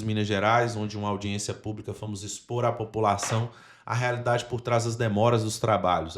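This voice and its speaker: A man's voice: fairly low pitch, vocal fry. A man with a Brazilian accent hosting a podcast, explaining things earnestly and addressing listeners directly. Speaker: podcast host and institute representative.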